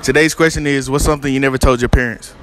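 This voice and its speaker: A man's voice: mid-range voice, steady tone, fast talker, fairly bright tone, slight background noise, rough recording. A young man hosting a casual street interview inside a shopping mall, greeting viewers in an upbeat way.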